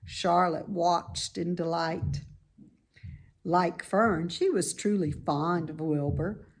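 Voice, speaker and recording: Female storybook narrator: unhurried, lowish, slightly husky, animated read-aloud delivery. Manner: expressive, deliberate read-aloud. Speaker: storybook reader. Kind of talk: scripted narration of a children's novel.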